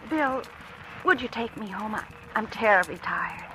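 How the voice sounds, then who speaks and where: A woman's voice: mid-range voice, expressive, normal speed, background noise, close to an everyday voice. An actress playing an anxious young woman with a guilty secret, tense and hesitant, in a 1940s radio drama style. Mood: weary, subdued. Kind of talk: 1940s radio acting, with confessional first-person narration. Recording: old radio drama recording, music underneath.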